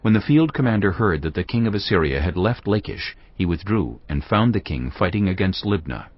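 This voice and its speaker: A man narrating scripture in a grave, measured way. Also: deep voice, big pitch swings, slightly rough, dry recording. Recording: dull lo-fi audio.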